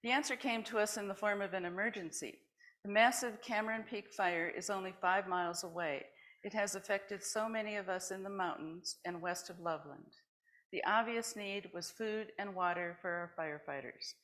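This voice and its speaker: A woman's voice: medium pitch, moderate pace, fairly bright tone, rough recording. A woman presenting at a worship service, reading short personal stories aloud to a congregation.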